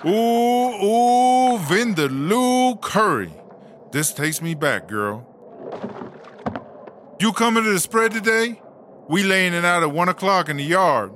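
Brief: male actor as bossy, playful woman inmate, high-pitched for a man, slow sassy drawl, noisy ambience. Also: big pitch swings, fairly bright tone, full character voice. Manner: slangy, drawling, exclamatory, sassy. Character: sassy, loud, bossy female prisoner Chartreuse, playful and streetwise. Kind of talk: comedy audio drama acting.

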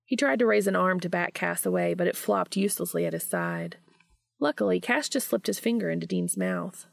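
A woman hosting a podcast and reading fan fiction aloud in an expressive audiobook style. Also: on the low side, a little fast, gravelly, dry recording, studio quality.

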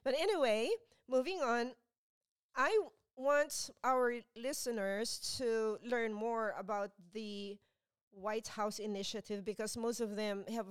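A woman hosts a chatty interview show with a smooth, clear voice. She is excitable and tends to ramble as she goes. Her sound is close and dry, with very little room to it. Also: fairly high pitch, slow pace, no echo. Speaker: podcast host.

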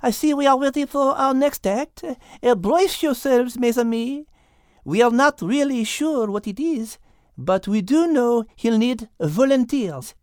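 A woman voicing a dainty, excitable little female animal hostess in a children's storybook, theatrical and gracious. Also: on the high side, unhurried, dry recording, clean studio sound, voice pushed up. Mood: gracious showmanship.

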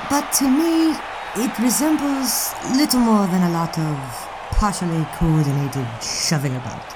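A woman's voice: lowish voice, unhurried, close to an everyday voice. An actress playing an opinionated young woman of the 1920s, arch, refined and playfully scolding in an audio drama. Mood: disdainful. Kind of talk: period audio drama acting. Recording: clearly noisy outdoor ambience behind.

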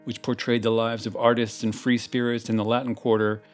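A man hosting with scripted essay narration in a measured, erudite, slightly wry manner. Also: mid-range voice, little pitch movement. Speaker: host. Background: plucked guitar music.

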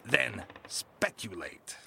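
An actor playing a cruel, domineering ship captain in a nautical audio drama, with a commanding, menacing manner and archaic sailor speech. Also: male voice, on the low side, expressive, slow, vocal fry, bright, forceful tone, slight background noise, exaggerated voice. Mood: cold, measured.

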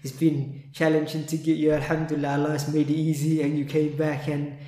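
A man's voice: on the high side, completely flat delivery, brisk, dark tone. A man hosting a podcast interview, courteous and devout, sprinkling his speech with Arabic religious phrases. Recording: roomy, echoing room sound.

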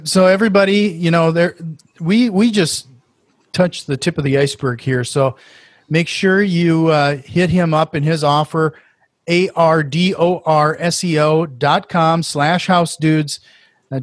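A man's voice: a little high, fairly even intonation, a little slow, no echo, studio quality. A man with a Norwegian accent hosting a casual podcast interview, enthusiastic and full of restarts. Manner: enthusiastic, many restarts and fillers. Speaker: podcast host.

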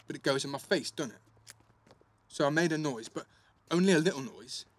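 A man's voice: fairly high pitch, lively intonation, moderate pace. A young man playing a defensive, sweary teenage schoolboy in a naturalistic audio drama, with an East Midlands English accent and a blunt, slangy delivery. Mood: aggrieved venting. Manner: blunt, slangy, full of tag questions.

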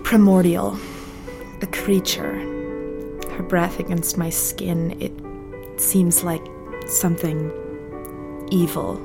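An actress playing a bitter, haunted young 1950s wife reciting her diary in a brooding, deliberate audio drama monologue. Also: fairly low pitch, steady tone, creaky, over music. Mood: cold loathing. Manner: brooding, deliberate, with heavy pauses.

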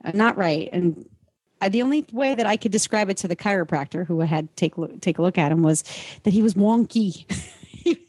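A woman's voice: medium pitch, a little fast, a little mellow, husky, no room sound. Playful woman host, dry close sound. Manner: playful, colloquial. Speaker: podcast host. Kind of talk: casual chat, conversational interviewing.

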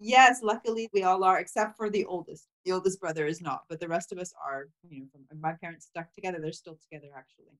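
A woman with a notably low voice talks through her past as a podcast guest. She grew up in Thailand, and her speech is reflective and soft, full of "you know" and small laughs. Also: fast talker, hardly any pauses, rough recording. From grew up mostly in Thailand. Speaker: memoir author, podcast guest. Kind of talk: casual interview chat.

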